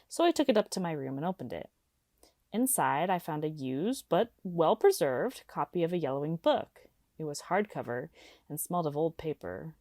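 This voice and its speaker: A woman playing an earnest radio caller who tells an eerie personal story in a deliberate, dramatic way. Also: deep voice, darkish tone, dry recording, studio quality. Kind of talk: scripted first-person storytelling.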